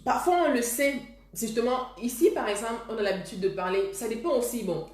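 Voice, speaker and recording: A woman's voice: on the high side, lively intonation, fairly quick, a little mellow. Woman host, earnest, self-correcting, echoey room. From Cameroon. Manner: earnest, repeats herself and restarts phrases. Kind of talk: casual advice talk to viewers.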